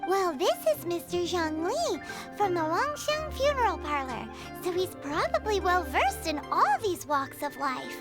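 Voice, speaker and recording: A tiny fairy girl chatters cheekily in a very high, squeaky voice that leaps about. Loud game music plays behind her. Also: very animated, unhurried, hardly any pauses, full character voice. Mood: chatty. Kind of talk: game voice acting.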